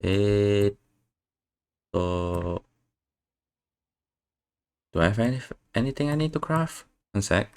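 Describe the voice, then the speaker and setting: Slow, deep-voiced streamer mumbling. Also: male voice, expressive, clean tone. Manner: mumbling, hesitant, many fillers. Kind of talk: casual gameplay commentary.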